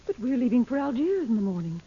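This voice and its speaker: An actress playing an anxious, determined middle-aged gentlewoman in an old radio serial, speaking earnestly and with feeling. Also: a little high, sing-song, fast, slight background noise. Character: Dot, anxious, determined gentlewoman helping the hero. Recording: muffled old radio recording.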